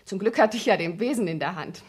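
A woman's voice: fairly low pitch, expressive, fast talker, slightly rough. An actress playing a naive, cheeky teenage shop girl in a German radio crime drama, talking in a girlish, forthright way. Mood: indignant, eager.

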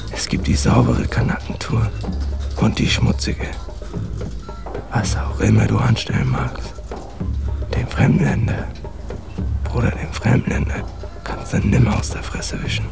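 An actor playing a bitter, streetwise young immigrant man in a radio drama, speaking intense, profane slang in a gravelly, confiding half-whisper. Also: on the low side, exaggerated voice. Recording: music behind, roomy sound.

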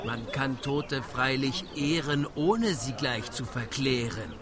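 An actor playing an aggrieved, jealous husband, sarcastic and reproachful, in a rhymed radio drama. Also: male voice, mid-range voice, animated, takes it slowly, a crowd in the background.

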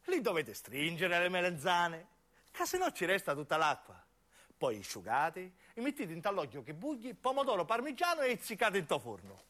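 A man's voice: high voice, huge pitch swings, moderate pace, bright, forceful tone, exaggerated voice. Male actor as homely old woman, southern Italian accent, talkative, nosy, prodding, radio theatre. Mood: chatty.

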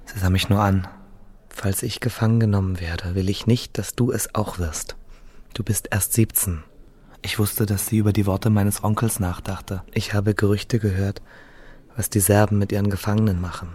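A man's voice: lowish voice, steady tone, normal speed, a little mellow, breathy edge. An actor playing a grieving seventeen-year-old boy fleeing war, telling his story in a subdued, reflective radio drama monologue. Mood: subdued, anxious.

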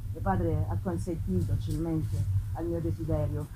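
A woman giving a theatrical literary reading, emphatic and full of pauses. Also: deep voice, steady tone, noisy background. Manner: theatrical, emphatic, pausing, repeating phrases. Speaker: actress reading a gothic novel. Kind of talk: dramatic literary reading with live music. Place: live festival performance. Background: live music.

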